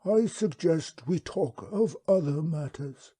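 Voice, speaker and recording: Male reader as a ponderous, polite ancient creature, slow and lilting. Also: on the high side, expressive, mellow, breathy edge, pitched above the performer's own voice, exaggerated voice. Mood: calm, polite. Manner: slow, courteous, drawn-out speech.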